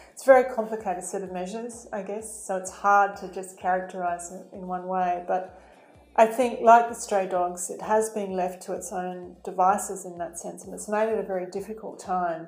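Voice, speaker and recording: A woman's voice: mid-range voice, darkish tone, roomy sound, poor audio. A woman who makes documentaries, answering interview questions in an earnest, thoughtful way with frequent ums. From Australia. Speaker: documentary filmmaker guest.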